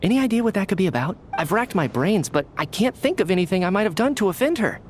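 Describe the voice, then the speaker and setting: A man's voice: high voice, sing-song, fast, slight background noise. A man voicing a friendly, fussy young official in a game, sociable and easily flustered. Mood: cheerful. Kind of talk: game voice acting.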